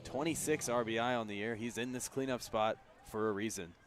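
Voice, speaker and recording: A man giving live baseball analysis on the radio in a conversational, slightly halting way, outdoors at a ballpark. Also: mid-range voice, steady tone, faint music behind. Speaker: color commentator.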